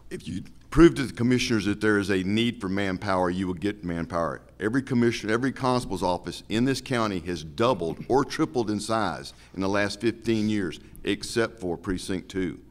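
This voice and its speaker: Low-voiced man, emphatic and plain-spoken. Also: a little fast, few pauses. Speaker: law officer running as constable candidate. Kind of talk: candidate forum answers.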